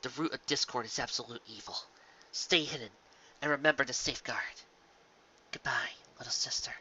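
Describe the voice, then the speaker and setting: Man as a hushed, solemn elder royal sister. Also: medium pitch, breathy edge, lo-fi sound. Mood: grave, foreboding. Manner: hushed, grave, measured.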